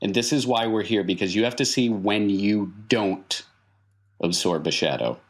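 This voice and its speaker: A man playing a cryptic, stern clown mentor in a tabletop game, speaking solemnly in emphatic, measured statements. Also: lowish voice, natural voice. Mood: solemn, instructing.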